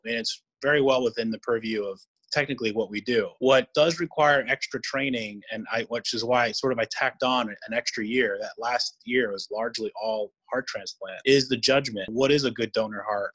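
Middle-aged male surgeon as interview guest, medium pitch, earnest and explanatory, speaking over a video call. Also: a bit bright, poor audio. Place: indoor room, on a video call.

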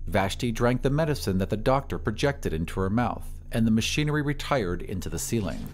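A man narrating a scripted dramatic story in a measured, literary manner. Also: on the low side, expressive, music in the background.

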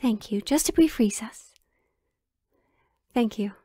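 A woman's voice: a little high, takes it slowly, dark tone, slightly rough, dry recording, clean studio sound. A woman playing a sheltered, pleading young royal in a romantic audio drama, with hesitant, formal old-fashioned phrasing. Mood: wistful, hesitant.

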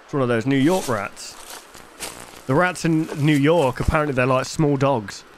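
A man's voice: mid-range voice, moderate pace, slight background noise, rough recording. A man in his twenties giving casual reaction commentary into a close desk microphone. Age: 20s. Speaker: reaction video host. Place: bedroom gaming setup, indoors.

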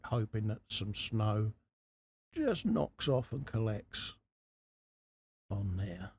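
Deep, gravelly man, casual. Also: a little mellow, slight background noise. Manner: unhurried, informal, chatty. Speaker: host of a scale model workshop channel. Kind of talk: casual hobby tutorial commentary while working. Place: indoor hobby workbench.

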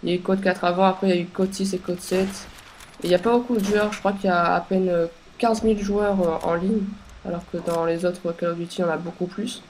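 A young woman with a stuffy, congested voice from a cold, talking over her game in a halting way with many pauses and fillers. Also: lowish voice, steady tone, darkish tone, a little hiss or hum, poor audio. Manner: hesitant, many filler sounds and restarts. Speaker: gameplay commentator. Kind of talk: casual gameplay commentary.

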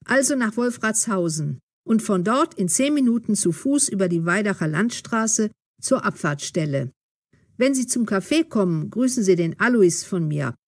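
A woman's voice: mid-range voice, animated, moderate pace, a little mellow, no room sound. Chatty older woman, very dry sound. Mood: chatty, helpful. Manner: rambling, long-winded. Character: chatty, helpful older woman, former café waitress. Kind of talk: audio drama acting.

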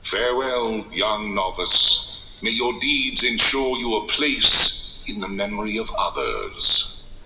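A man's voice: on the low side, animated, slow, pushed and bright, heavily acted. An actor playing a solemn male master mage in a fantasy game, ominous and ceremonious. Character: solemn deep-voiced male master mage giving warnings. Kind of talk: fantasy video game acting. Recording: echoey game chamber.

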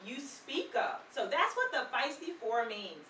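A middle-aged woman doing an interactive read-aloud talk for young children, animated, asking questions and prompting them to repeat words. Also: high voice, unhurried. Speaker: children's book author and presenter. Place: children's room in a public library. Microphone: far camera. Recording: distant, echoey room with background noise. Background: room ambience, children.

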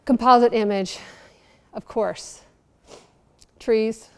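Middle-aged woman lecturing with a creaky voice. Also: fairly high pitch, steady tone, slow. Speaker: keynote speaker, architect and researcher. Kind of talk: conference lecture to an audience. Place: large lecture auditorium, speaking from a lectern on stage.

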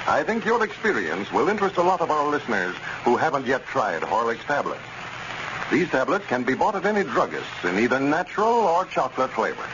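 A man's voice: medium pitch, big pitch swings, a little fast. A man announcing for a 1930s radio show sponsor in a polished, formal way. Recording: muffled, noisy old radio recording.

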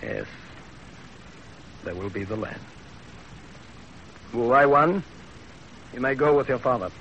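A commanding general, muffled old radio. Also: male voice, mid-range voice, lively intonation, gravelly, fairly bright tone, full character voice. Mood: stern, commanding. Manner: authoritative, deliberate. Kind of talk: 1930s radio drama acting. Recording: muffled, hissy 1930s radio recording.

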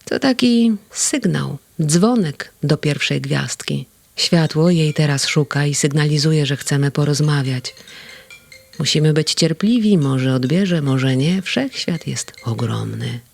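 A reader voicing a gentle, kindly male sandman in a children's audio play, speaking softly and calmly. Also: mid-range voice, slow, vocal fry, no room sound. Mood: hushed.

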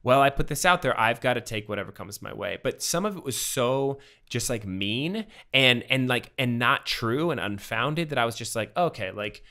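A man in his thirties, a podcast guest, telling a personal story in casual, animated talk full of fillers and acted-out quotes, on a studio mic at home. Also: medium pitch, normal speed, few pauses. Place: home room with bookshelves. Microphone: studio mic with pop filter, headphones.

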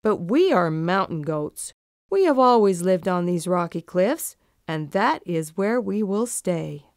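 Woman as a kind, measured old grandfather goat. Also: lowish voice, very animated, smooth, dry recording, clean studio sound. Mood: kindly firm.

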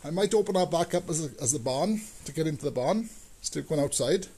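A man's voice: a little high, expressive, a little fast, warm tone, husky. A man, talking casually and rambling as he walks round his farmyard and shows his work. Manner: rambling, plain-spoken, shows work as he goes. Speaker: farmer vlogger. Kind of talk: casual walk-through vlog. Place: outdoors on a farmyard and in a cow shed. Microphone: action camera on the body.

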